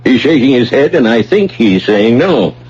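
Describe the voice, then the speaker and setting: Husky, stubborn male professor. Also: mid-range voice, big pitch swings, moderate pace, darkish tone. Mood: observant, challenging. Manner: firm, formal, defiant. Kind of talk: radio drama acting. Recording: dull old radio broadcast.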